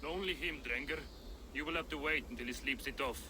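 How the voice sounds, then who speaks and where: Laconic Viking villager, noisy surroundings. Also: male voice, medium pitch, a little fast, bright, forceful tone. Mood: dry, matter-of-fact. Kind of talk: video game voice acting.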